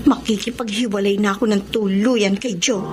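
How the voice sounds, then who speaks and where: A woman's voice: medium pitch, fairly even intonation, normal speed, over loud music, natural voice. An actress playing a resolute, weary woman leaving her partner, in a firm, subdued radio drama manner. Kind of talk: Tagalog radio drama acting.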